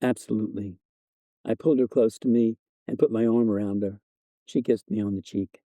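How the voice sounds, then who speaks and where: A man narrating a first-person short story in a measured, wry literary reading style. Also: fairly low pitch, fairly even intonation, dark tone, dry recording.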